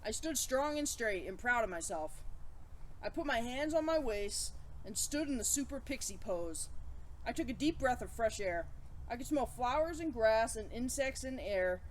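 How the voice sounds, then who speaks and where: A high-pitched woman speaks to children in a playful, chatty read-aloud style. She sounds like a story time host addressing young listeners, with clear ambient noise around her voice. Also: expressive, moderate pace, rough recording.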